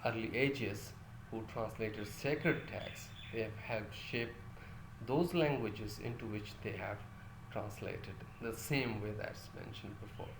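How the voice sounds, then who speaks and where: A man's voice: on the low side, takes it slowly, gravelly, reverberant, noisy background. A male lecturer whose first language is Urdu, teaching a class with many fillers and restarts and putting questions to his listeners.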